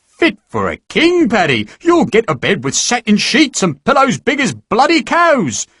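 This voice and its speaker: A man's voice: very high-pitched, wildly swooping pitch, measured pace, a bit bright, breathy edge, no room sound, clean studio sound, pitched above the performer's own voice, heavily acted. A man doing a hearty, coaxing sergeant. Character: genial, coaxing army recruiting sergeant.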